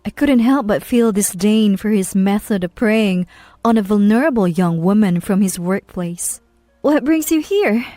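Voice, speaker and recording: A woman's voice: mid-range voice, moderate pace, dark tone, no room sound, soft background music. A woman reading scripted first-person story narration.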